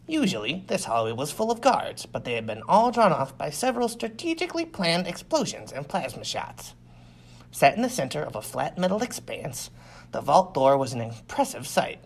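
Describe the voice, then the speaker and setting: A man reads a first-person space adventure as an audiobook narrator. His pitch moves around freely and expressively, and his voice has a gravelly, creaky edge. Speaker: audiobook reader. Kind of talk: scripted first-person sci-fi story narration.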